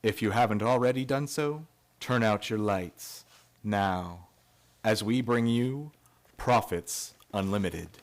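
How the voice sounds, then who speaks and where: An actor playing an ominous horror anthology host in old-time radio style, portentous and deliberate. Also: male voice, lowish voice. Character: ominous male horror-anthology host introducing tonight's tale.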